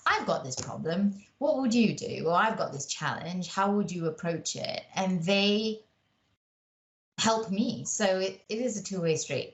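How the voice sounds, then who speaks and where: A reflective woman podcast guest from Malaysia, speaking with a British accent in a fairly low voice. The sound is noticeably roomy, and she talks conversationally, tagging thoughts with "right?". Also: slight background noise. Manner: reflective, often adds "right?" as a tag. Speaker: podcast guest, mentor and coach. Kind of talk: conversational interview.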